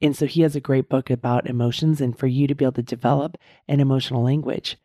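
A middle-aged woman hosting her own coaching podcast, her voice hoarse and strained, talking earnestly and repeating key points slowly. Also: a bass voice, fairly even intonation, mellow, no echo, clean studio sound. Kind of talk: casual solo podcast talk.

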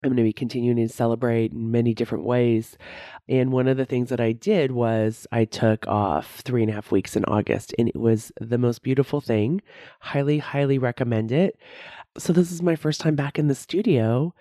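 Mellow-voiced woman host with a head cold. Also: rumbling low, fairly even intonation, moderate pace, no echo, clean studio sound. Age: about 50. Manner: conversational, often tags on "right?". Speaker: podcast host and coach. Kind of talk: solo podcast monologue. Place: recording studio.

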